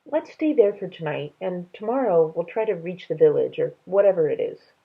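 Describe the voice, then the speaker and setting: A woman voices a young girl adventurer from a storybook, determined and practical. She speaks directly and earnestly with a slightly creaky, husky edge. The recording is narrow and phone-like. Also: on the low side, lively intonation, normal speed, full character voice.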